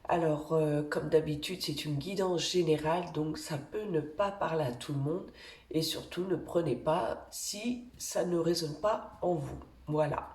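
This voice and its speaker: A woman with a cold talks through her weekly card reading in a slow, unhurried way, stopping often to search for words. Her voice is mellow and a little low, and the room around her rings with echo. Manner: gentle, reassuring, pauses often while drawing cards. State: has a cold. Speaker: energy healer giving weekly card guidance. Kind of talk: slow, hesitant spoken guidance with many fillers.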